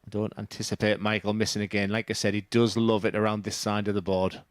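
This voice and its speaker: A man's voice: fairly low pitch, flat delivery, quick pace, no echo, clean studio sound. A man with a Dutch accent giving live darts commentary in a plain-spoken, analytical way.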